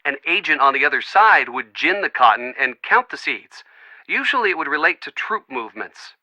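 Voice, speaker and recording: A man's voice: fairly high pitch, sing-song, normal speed, sharp tone, dry recording, exaggerated voice, rough recording. An actor playing a friendly, earnest young man in a video game, chatty and reassuring as if on a call. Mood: chatty explaining. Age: young adult. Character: friendly young man, earnest, bookish, supportive boyfriend type. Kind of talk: video game voice acting, phone conversation.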